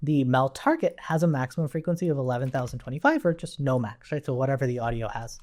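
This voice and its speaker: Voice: medium pitch, fast talker, a little mellow. A person giving an informal live-coding tutorial, thinking aloud while typing and often checking in with "right?". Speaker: programming tutorial instructor. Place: indoors at a computer, keyboard typing behind.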